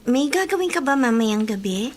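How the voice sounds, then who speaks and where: A woman acting a high-voiced young Filipina sister. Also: lively intonation, normal speed, slight background noise, lightly acted. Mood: sweet, eager. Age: young adult. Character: sweet younger sister, coy with her suitor. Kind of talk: Tagalog radio drama acting.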